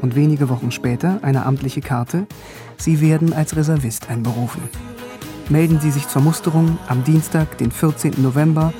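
A man's voice: fairly high pitch, steady tone, moderate pace, warm tone, soft background music, close to an everyday voice. An actor playing an East German academic who narrates his own story in a radio drama, wry, sober and matter-of-fact.

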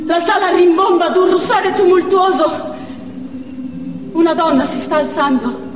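A woman's voice: very high voice, a little slow. An actress playing a desperate, defiant female Chechen militant in a declamatory theatrical monologue. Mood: anguished, urgent. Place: theatre stage, picked up from afar. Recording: distant echoey theatre hall with music.

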